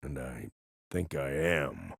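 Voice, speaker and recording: Gravelly old American part-machine gunfighter. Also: male voice, a bass voice, animated, slow, fairly bright tone, dry recording, studio quality, exaggerated voice. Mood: guarded, subdued. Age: older. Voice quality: gravelly, husky. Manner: folksy drawling slang, wry and grumbling. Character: gruff, grizzled old part-machine gunfighter, wry and world-weary. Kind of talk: video game character voice lines.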